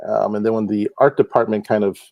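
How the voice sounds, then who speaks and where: A man's voice: on the low side, fast, gravelly, no room sound, highs cut off. A middle-aged man from Hawaii, a guest talking easily in a casual interview, with lots of fillers and frequent laughs. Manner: relaxed, laughs often, many fillers. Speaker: guest, comic book artist. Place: home.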